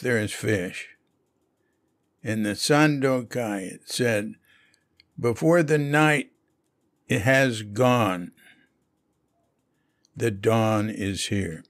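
A man reading a spiritual lecture aloud in a measured way. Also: mid-range voice, no room sound, studio quality. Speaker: reader of a Zen lecture.

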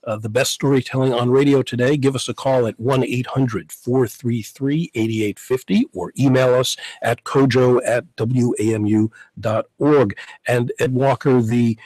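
A man's voice: mid-range voice, fairly even intonation, normal speed, darkish tone, no room sound, poor audio. A middle-aged man hosting a radio interview show in a studio, with a polished broadcast delivery. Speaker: guest radio host. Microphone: studio microphone, headphones.

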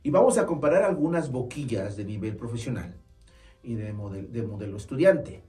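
A man's voice: medium pitch, expressive, moderate pace, mellow. A man in his forties with a Mexican Spanish accent, an earnest and friendly saxophone teacher who talks to camera with hesitant fillers and restarts, in a small shop studio. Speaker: saxophone teacher and music store owner. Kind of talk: informal instructional talk to camera. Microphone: far camera mic. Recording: roomy, distant camera sound, faint music.

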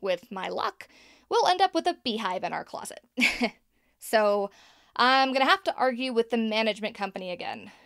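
A woman's voice: a little high, animated, normal speed, creaky, a bit bright, clear, resonant tone, dry recording, studio quality. An actress playing a nervous young woman who hosts a podcast, rambling and laughing as she talks. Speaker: podcast host. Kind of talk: audio drama acting.

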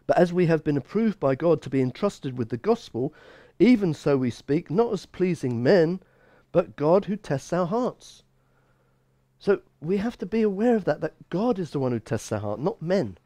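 A man's voice: on the high side, big pitch swings, moderate pace, dark tone, no room sound. A man in his sixties giving an expository Bible teaching sermon as a pastor, emphatic and given to repeating phrases. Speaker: bishop and pastor. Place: small church room, indoors.

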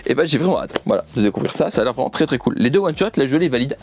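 A man's voice: mid-range voice, animated, racing, darkish tone, slight background noise. A young man chatting off the cuff as an enthusiastic vlogger, often repeating himself. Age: young adult. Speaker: YouTuber presenting a manga unboxing. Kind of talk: casual unscripted vlog chat. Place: indoor room with manga shelves.

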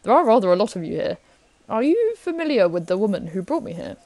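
A woman playing a polite, guarded, self-deprecating gentleman guest in a 1930s radio drama. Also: medium pitch, big pitch swings, fast. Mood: polite, reserved.

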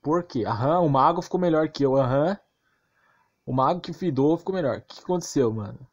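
A man's voice: fairly high pitch, lively intonation, fairly quick, a little mellow, lo-fi sound. A man with a Brazilian accent giving a casual, ranting game commentary, slangy and crude, emphatic and repetitive with rhetorical questions. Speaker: gaming YouTuber.

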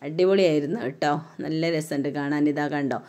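A mother with a Kerala accent chatting casually while filming a walk through a shopping mall on her phone, often ending sentences with a soft tag word. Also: low voice, fairly quick. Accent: Malayalam, Kerala. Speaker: vlogger, mother touring a mall with her daughter. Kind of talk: casual walk-around vlog narration. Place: large indoor shopping mall. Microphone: phone camera held while walking.

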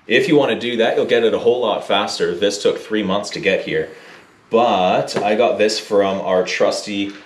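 A man in his thirties talks through a motorcycle build with dry, sarcastic wit. His voice sits low and echoes in a home garage. Clear background noise runs underneath. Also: normal speed. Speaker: co-host of a motorcycle build channel. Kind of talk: casual workshop chat. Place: home garage workshop, indoors.

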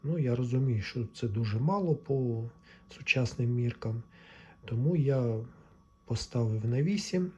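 A man giving an informal technical tutorial, explaining step by step in a halting way. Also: medium pitch, expressive, darkish tone, slightly rough, highs cut off. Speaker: electronics repair hobbyist presenter.

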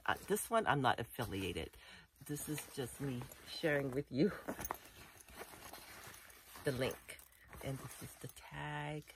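A woman's voice: deep voice, a little hiss or hum. A middle-aged woman casually showing off a backpack, talking in a rambling, chatty way full of "you know" and restarts, recorded indoors close to the camera. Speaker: vlogger reviewing a backpack. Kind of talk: casual unboxing show-and-tell. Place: indoor room full of collectibles.

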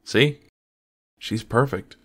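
A man playing a weary, wry superhero archer who is gruffly protective, in a halting, understated way. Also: mid-range voice, a bit bright. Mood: weary, resolute. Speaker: voice actor in a fan motion comic. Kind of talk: dramatic comic-book voice acting with narration.

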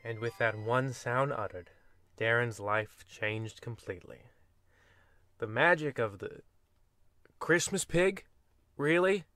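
Guy playing a grand spooky-show narrator, medium pitch, kinda flustered and halting, old radio comedy style. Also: pushed and bright. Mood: grand, narrating. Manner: portentous, halting. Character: male radio anthology narrator, portentous but easily flustered. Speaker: narrator and host.

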